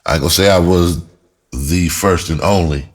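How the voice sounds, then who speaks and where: A middle-aged man with an American accent and a very deep voice that has a husky edge. He talks casually and candidly, like someone telling his own story. His delivery rambles and is full of slang. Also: moderate pace. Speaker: storyteller, writer and music producer. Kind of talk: casual, explicit personal storytelling.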